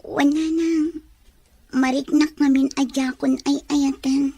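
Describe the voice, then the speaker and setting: Young female student, torn over love, high-pitched, Ilocano accent, close dry radio drama sound woman actor. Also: steady tone, slow pace, mellow, no room sound. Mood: resigned. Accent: Ilocano Filipino. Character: troubled young female student ending a romance.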